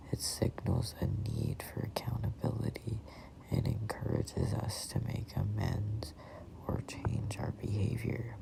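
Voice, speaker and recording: A person in their twenties reading a self-help book aloud in a breathy ASMR whisper, deliberately and with pauses between short phrases. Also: deep voice, poor audio. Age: 20s. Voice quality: whispery, breathy, gravelly creak. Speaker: amateur book reader.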